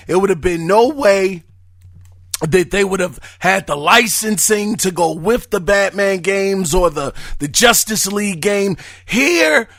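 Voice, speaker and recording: A man hosting his own podcast, talking in a hoarse voice and often shouting with excitement. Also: sits high. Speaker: podcast host. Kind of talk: casual podcast monologue.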